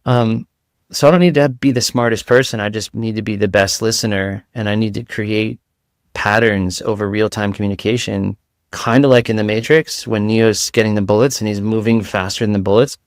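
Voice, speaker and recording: A man with a light voice, a guest in a casual interview, talking in rapid-fire analogies with frequent restarts. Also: fairly low pitch, steady tone, clean tone, no room sound, clean studio sound.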